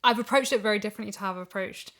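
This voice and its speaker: A woman speaks in a casual, conversational way. She is animated and wordy, working out her thoughts as she goes, like an actor talking about the craft. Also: medium pitch, rapid-fire. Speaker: voice actor and podcast guest. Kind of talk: casual chat.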